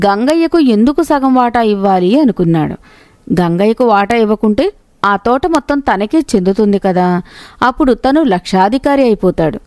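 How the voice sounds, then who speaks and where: A woman's voice: on the high side, darkish tone, no echo. A woman narrating a scripted moral story.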